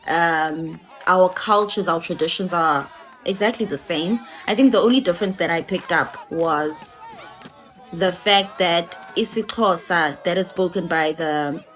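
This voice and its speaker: Unhurried woman vlogger. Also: on the low side, a bit bright, slight background noise. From South Africa. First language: isiXhosa. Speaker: travel vlogger. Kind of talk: reflective personal account.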